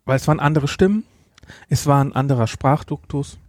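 A man's voice: fairly high pitch, a little fast, darkish tone, no room sound, clean studio sound. A man hosting a podcast in an informal, conversational way, addressing listeners directly. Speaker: podcast host. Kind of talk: casual chat, reviewing an audio drama.

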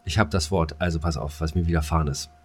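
A man ranting casually as a podcast co-host, using crude slang in an agitated, halting way. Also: rumbling low, fairly even intonation, husky.

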